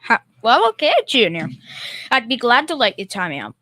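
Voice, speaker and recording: A woman's voice: on the high side, very animated, moderate pace, fairly bright tone, no echo. A blustering middle-aged working-class father from an old radio comedy, played by a young woman. The talk is folksy and wisecracking, with a cowardly streak under the bravado. The sound is close and dry. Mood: reluctant sarcasm. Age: teenager.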